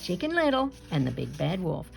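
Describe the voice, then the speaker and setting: A woman reading a children's story aloud with expressive narration. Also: lowish voice, fairly quick, creaky, music in the background, poor audio. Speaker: storybook reader.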